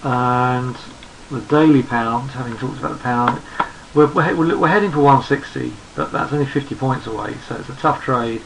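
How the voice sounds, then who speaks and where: A hoarse man who is losing his voice, talking through market charts in an unhurried, think-aloud way and often repeating words as he goes. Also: mid-range voice, fairly bright tone, a little hiss or hum, poor audio. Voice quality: gravelly. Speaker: trading analyst. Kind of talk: screen-recorded market chart commentary.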